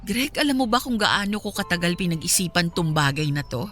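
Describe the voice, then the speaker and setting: A woman's voice: on the low side, sing-song, brisk, fairly bright tone, a little hiss or hum. A woman with a Filipino accent playing a hurt, resolute young mother in a radio drama, firm and reproachful.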